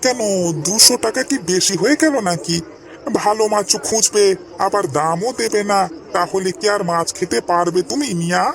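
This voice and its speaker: Cartoon village fisherman man acting: high voice, middle-aged, sarcastic haggler and complainer. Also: moderate pace, fairly bright tone. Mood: scornful. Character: grumbling, sarcastic village fisherman, a father and fish seller. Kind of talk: Bengali cartoon dialogue.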